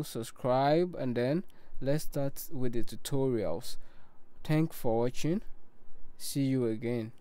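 A young man narrating a screen-recorded walkthrough of his own app, with a halting, unhurried delivery and many pauses. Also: mid-range voice, dark tone, clean tone, no echo. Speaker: app developer walking through his own app. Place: voice-over recorded separately from the screen capture.

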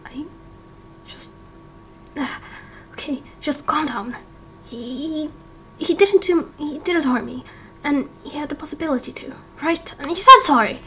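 A woman voicing an uneasy young man turned angel in a game roleplay, hesitant and halting. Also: sits high, pushed and bright, slight background noise. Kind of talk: Minecraft roleplay voice acting.

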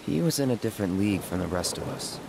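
An actor playing a cocky, eager young soldier with a casual, clipped way of talking in a video game cutscene. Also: male voice, low voice, sing-song, fast talker, creaky, noisy background, exaggerated voice. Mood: somber recounting. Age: young adult. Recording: loud music behind.

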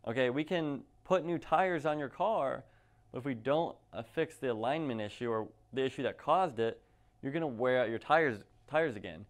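A young man, a clinic doctor, speaking to camera in a conversational, persuasive way and asking rhetorical questions. He is recorded indoors in an office room on a lapel mic. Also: mid-range voice. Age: young adult. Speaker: clinic doctor giving a patient talk. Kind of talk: direct-to-camera health presentation.

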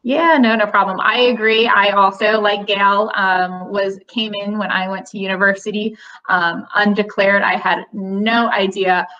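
Bright-voiced young woman on a video call. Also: mid-range voice, steady tone, measured pace, lo-fi sound. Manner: friendly, explanatory, many "um" fillers. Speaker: college admissions representative. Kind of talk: webinar Q&A answers. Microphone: video call webcam.

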